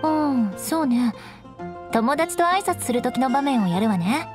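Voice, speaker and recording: A woman's voice: on the high side, expressive, a little slow, music in the background. An actress playing a proud, cool young noblewoman in an anime game, composed and instructive with a haughty edge.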